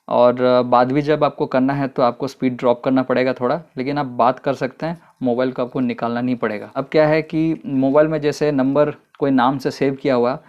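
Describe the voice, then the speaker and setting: A man giving a casual, unhurried product review in a vlog, explaining step by step with frequent fillers. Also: mid-range voice, darkish tone, lo-fi sound.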